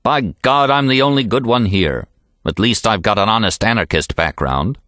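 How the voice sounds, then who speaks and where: A man voicing a pompous, sharp-tongued male poet in an audiobook, declamatory, sneering and boastful. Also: fairly low pitch, expressive, moderate pace, no echo, studio quality. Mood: boastful, sardonic. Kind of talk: audiobook character reading.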